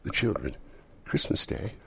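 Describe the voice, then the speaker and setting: A man voicing a mild, kindly family father in a storybook, gentle and earnest. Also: measured pace, natural voice. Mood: mild pleading.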